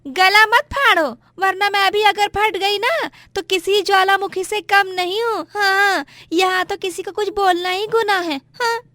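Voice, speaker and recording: Woman doing a nasty domineering daughter in law, high pitched, taunting and yelling, story video drama. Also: fairly even intonation, unhurried, hardly any pauses, no room sound, studio quality, heavily acted. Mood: angry taunting. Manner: scolding, taunting, forceful. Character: spiteful, shrill elder daughter-in-law, quarrelsome and domineering. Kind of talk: melodramatic moral-story dialogue.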